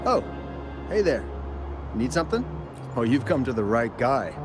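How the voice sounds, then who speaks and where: An actor playing a friendly, excitable miner who chattily recounts what he witnessed in a video game. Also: medium pitch, normal speed, lightly acted. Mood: friendly, chatty. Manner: animated, chatty, hesitant in places. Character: friendly excitable male miner, eager eyewitness. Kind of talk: video game story dialogue. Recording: music clearly behind the voice.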